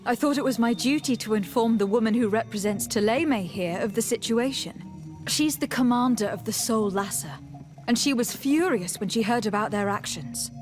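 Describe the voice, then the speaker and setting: An actress playing a composed noble envoy and leader in a video game, speaking formally and with measured authority. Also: female voice, fairly high pitch, music in the background, natural voice. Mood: formal, diplomatic. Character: noble female envoy, composed young leader giving orders. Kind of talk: scripted video game voice acting.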